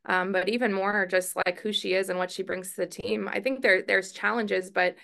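A woman in her forties, a college softball coach, answering reporters over a video call, thoughtful and full of restarts and fillers. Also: medium pitch, fairly even intonation, moderate pace, creaky. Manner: thoughtful, many restarts and fillers, hands moving as she talks. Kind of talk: press conference answers. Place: indoor press room in front of a logo backdrop. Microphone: video call webcam.

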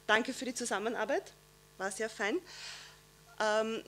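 A woman's voice: on the high side, steady tone, a little slow, sharp tone, a little hiss or hum. A woman giving a welcome speech on a theatre stage, earnest and hunting for words with many fillers. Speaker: guest speaker. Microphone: stand microphone.

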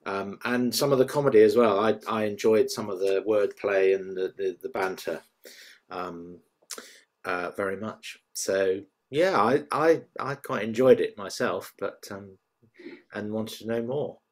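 A man on a panel giving casual feedback over a video call, in a hesitant, halting way. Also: fairly low pitch. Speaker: panel member. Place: room at home on a video call. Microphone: video call computer microphone.

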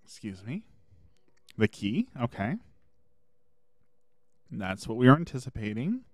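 A man streaming a video game, chatting casually and thinking aloud as he plays, his voice a little hoarse. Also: mid-range voice, very animated, measured pace, no echo, studio quality. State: slightly hoarse. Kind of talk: casual gameplay commentary.

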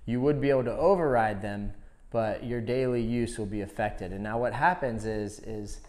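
A man's voice: lowish voice, normal speed, a little mellow. A man demonstrating a product in a conversational, step-by-step instructional style. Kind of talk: hands-on instructional explanation.

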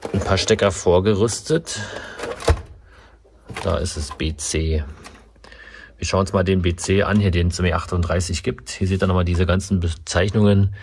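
A man's voice: low-pitched, slight background noise. A man casually explaining car electronics while working in a workshop, filming from his own point of view. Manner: casual, explanatory, with frequent fillers. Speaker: car mechanic and video host. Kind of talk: hands-on workshop walkthrough. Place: indoor car workshop beside a stripped car.

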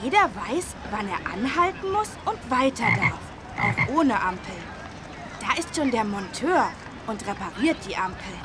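Young cartoon schoolboy, high lively voice, eager, knowing, helpful, street noise behind. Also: female voice, unhurried. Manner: eager, explanatory. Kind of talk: children's learning game voice acting.

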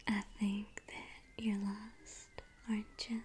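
A young woman playing a sweetly menacing female circus clown in an ASMR roleplay, coaxing and teasing in a breathy whisper. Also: medium pitch, monotone, takes it slowly, a little mellow, slight background noise, close to an everyday voice. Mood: playful, sly. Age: young adult. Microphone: close ASMR microphone. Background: music.

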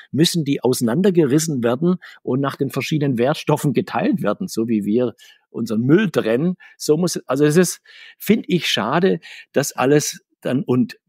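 A man talking conversationally as an interview guest, talkative and often correcting himself, with a husky voice. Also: mid-range voice, darkish tone, no echo, studio quality. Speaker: guest, comics author.

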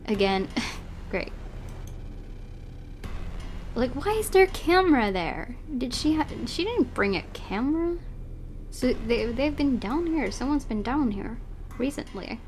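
A woman's voice: high-pitched, very animated, unhurried, pauses often, darkish tone, a little hiss or hum. A young woman playing a game, reading its text aloud and reacting casually with giggles and exclamations. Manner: giggly, exclamatory, self-correcting. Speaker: let's player.

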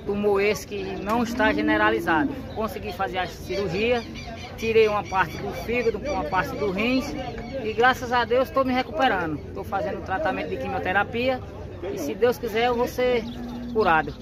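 A middle-aged man speaking earnestly and gratefully to viewers, a little halting, filmed on a phone at an outdoor cattle pen. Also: high voice. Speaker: interviewee appealing for help. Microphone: phone camera held by another person. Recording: outdoor crowd noise. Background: crowd chatter.

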